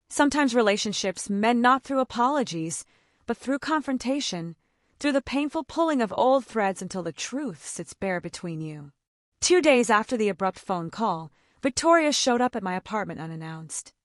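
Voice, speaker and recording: A woman's voice: mid-range voice, no echo, studio quality. A woman delivering dramatic first-person scripted narration for an audio story.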